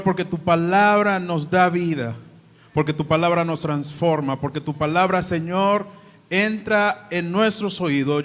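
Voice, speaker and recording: A Dominican-accented man preaching in a church, his fairly high voice carried on a long hall reverb. He moves between fervent prayer, scripture reading and easy chat with the congregation. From Dominican Republic. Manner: prays and reads scripture aloud, then talks chattily to the congregation. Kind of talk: sermon.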